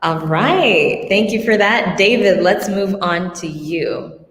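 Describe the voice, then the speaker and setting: Woman moderator, slow and lowish, echoing in a reverberant room. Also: lively intonation, fairly bright tone, a little hiss or hum. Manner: brief handovers between guests. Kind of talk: moderating a webinar panel.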